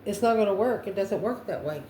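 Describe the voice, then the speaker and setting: A woman's voice: medium pitch, lively intonation, a little fast, mellow. Echoey, distant woman reading tarot. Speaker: tarot reader, host. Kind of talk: intuitive tarot card reading, talking to viewers. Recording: roomy echo, distant mic, background noise.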